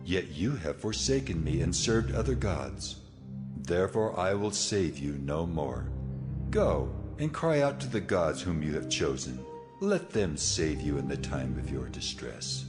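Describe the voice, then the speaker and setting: A man's voice: deep voice, very animated, a little slow, music in the background. A man playing a stern, grieved and commanding God in a dramatized scripture reading, solemn and reproachful.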